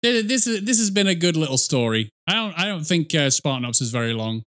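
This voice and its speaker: A man's voice: on the high side, sing-song, fast, a bit bright. A man in his thirties giving casual, rambling commentary as he reacts to a game, often correcting himself and swearing. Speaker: reaction video host. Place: small indoor room at a desk with headset.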